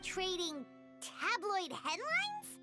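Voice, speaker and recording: A woman voicing a tiny, dramatic, childlike fairy companion in a video game, with a squeaky voice. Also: huge pitch swings, drawn-out words, over music, heavily acted. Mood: indignant. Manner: exclaiming, theatrical, third-person self-reference.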